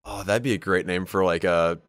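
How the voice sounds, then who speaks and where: A man's voice: low voice, fairly even intonation, brisk, fairly bright tone, no room sound. A man co-hosting a podcast, chatting informally about tabletop game lore and peppering his talk with "like". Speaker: podcast co-host.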